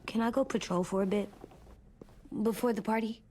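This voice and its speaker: A woman's voice: fairly high pitch, fairly even intonation, normal speed, a little mellow, soft background music, lightly acted. A woman voicing an eager, playful little boy in a video game.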